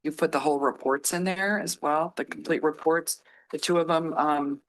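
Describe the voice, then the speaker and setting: A man with a fairly high voice speaks at a council meeting. He talks haltingly, restarting his sentences and filling pauses. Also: steady tone, a bit bright, poor audio. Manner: halting, many restarts and fillers.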